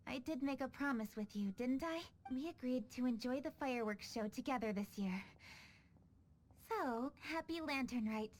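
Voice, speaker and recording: A woman playing an earnest, dutiful young noblewoman in an anime-style game, speaking in a measured, thoughtful and composed way. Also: high-pitched, close to an everyday voice. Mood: sincere, fond. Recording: music under the voice.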